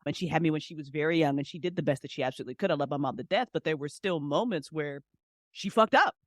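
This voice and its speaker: A woman's voice: deep voice, quick pace, no echo, studio quality. A woman guest on a podcast, chatting casually in a frank, emphatic and encouraging way. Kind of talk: casual chat.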